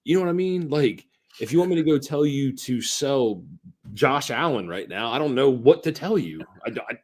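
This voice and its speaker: A man's voice: mid-range voice, animated, normal speed. A man co-hosting a casual sports podcast, making his points emphatically and repeating them for stress. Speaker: podcast co-host.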